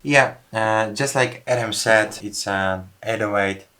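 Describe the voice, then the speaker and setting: A man's voice: lowish voice, a little slow, pushed and bright. A man giving a casual tutorial as a music producer, with informal, slightly non-native phrasing. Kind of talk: casual track breakdown tutorial. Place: music studio. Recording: music playing behind the voice.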